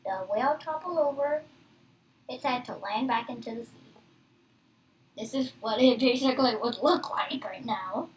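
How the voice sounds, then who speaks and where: A child reading a book aloud with casual asides, halting and stumbling over hard words. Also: medium pitch, far from the mic, rough recording.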